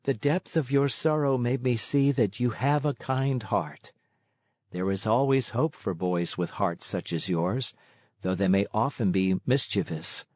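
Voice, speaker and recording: Man as a gentle, motherly fairy, measured delivery. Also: medium pitch, no echo, clean studio sound, close to an everyday voice. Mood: tender. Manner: measured, tender, moralizing. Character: gentle motherly fairy woman, kind but firm.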